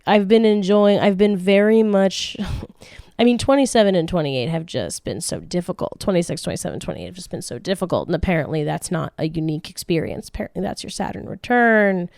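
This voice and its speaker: A woman's voice: on the low side, brisk, a little mellow, no room sound, clean studio sound. A woman in her late twenties hosting a casual podcast, answering listener questions in a frank, chatty way with frequent swearing, laughs and restarts. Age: late 20s. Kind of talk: casual chat.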